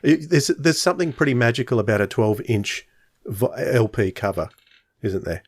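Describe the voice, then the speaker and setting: A man's voice: medium pitch, animated, normal speed, slightly rough, no room sound, clean studio sound. A man hosting a podcast, chatting casually and putting questions to his guests. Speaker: podcast host. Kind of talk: casual chat.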